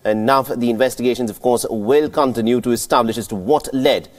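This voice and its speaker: A man's voice: mid-range voice, brisk. A man anchoring a live breaking news bulletin in a formal, wordy manner.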